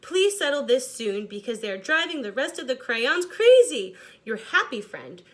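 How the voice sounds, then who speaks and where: A woman's voice: high-pitched, very animated, normal speed. A woman voicing a contented, peacemaking storybook crayon who speaks politely and formally.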